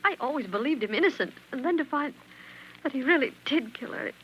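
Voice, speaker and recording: An actress playing an anxious, pleading, deceitful wife with earnest melodrama in a 1940s radio play. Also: female voice, high voice, animated, fast talker, lo-fi sound, slight background noise. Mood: distraught. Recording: vintage 1940s radio recording.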